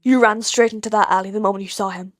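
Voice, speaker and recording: Weary male pro hero, performed by a woman: rough, lowered voice, terse and stern, audiobook drama, dry booth. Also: steady tone, fast, no echo, close to an everyday voice. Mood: weary, unyielding.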